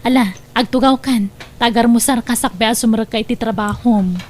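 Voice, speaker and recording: A woman's voice: a little high, moderate pace, darkish tone, a little hiss or hum, lightly acted. A woman with an Ilocano accent playing an attentive housewife in a radio drama.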